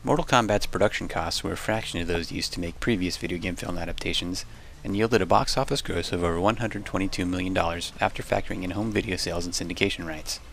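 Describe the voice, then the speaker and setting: A man's voice: deep voice, steady tone, fast talker, creaky, a bit bright. A man reading scripted documentary narration over background music. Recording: music under the voice.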